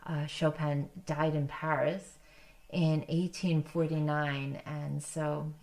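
A middle-aged woman, a native speaker of Polish, talks as the host of a piano concert. Her voice sits low for a woman and she takes her time. She is conversational and gently reflective, phrasing haltingly as she goes. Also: little pitch movement, vocal fry. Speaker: pianist hosting a livestream concert. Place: indoor candlelit room, seated at a piano.

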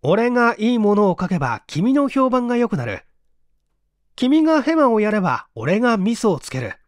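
A man voicing an eager, scheming mystery writer with an animated, persuasive manner in a storybook reading. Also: high-pitched, moderate pace, no echo, studio quality, pitched above the performer's own voice. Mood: gleeful, persuasive. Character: scheming male mystery writer, eager, pleased with his mischief.

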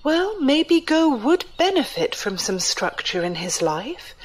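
A woman's voice: sits high, sing-song, slow, crowd noise, heavily acted. A woman playing a shrewd, unimpressed heroine in an audio drama, dry and skeptical.

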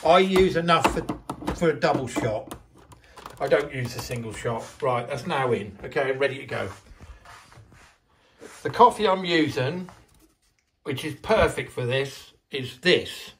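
A man's voice: medium pitch, expressive, a little slow, pauses often, fairly bright tone, slight background noise. An older man in a home kitchen, chatting casually through a how-to with lots of fillers and restarts, filmed on a handheld phone. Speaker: home video maker.

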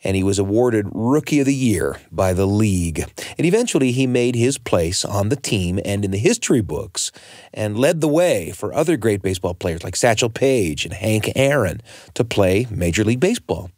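A man's voice: on the low side, expressive, moderate pace, darkish tone, dry recording, clean studio sound. An American man hosting a radio storytelling show in a conversational, emphatic way, often turning to the listener with questions.

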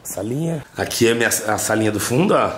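A young Brazilian man, a little hoarse, giving a slangy, excitable vlog tour of his house and pool with a handheld camera. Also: mid-range voice, brisk. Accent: Brazilian Portuguese. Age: young adult. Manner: slangy, excitable, calls his friends "mano". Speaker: vlogger host. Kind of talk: casual vlog chat. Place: outdoors by a house pool and inside the house. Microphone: handheld action camera at arm's length.

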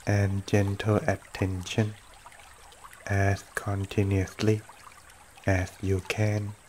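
Male meditation guide, low-pitched and extremely slow, gentle short phrases with long pauses, trickling stream behind. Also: a little hiss or hum. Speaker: meditation teacher. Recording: running water throughout. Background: trickling stream water.

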